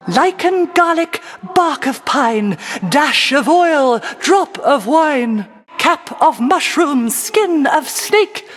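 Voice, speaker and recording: Casting: dreamy island witch for a game, high voice with swooping melody, doting and genteel. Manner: unhurried, lilting, old-fashioned genteel phrasing. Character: whimsical sorceress aunt living alone on her isle, doting, dreamy. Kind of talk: theatrical video game character acting.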